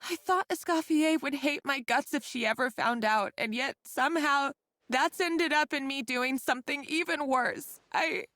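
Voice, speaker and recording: A woman's voice: high voice, no room sound. A woman voicing a timid young female kitchen worker who stammers and halts, tearful and guilt-ridden. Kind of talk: game voice acting. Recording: game audio with soft music.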